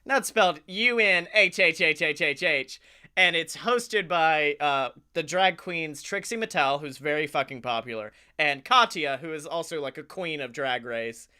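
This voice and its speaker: A man chatting casually as a comedy podcast co-host, enthusiastic, talkative and quick to swear. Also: fairly high pitch, pushed and bright, clear, resonant tone.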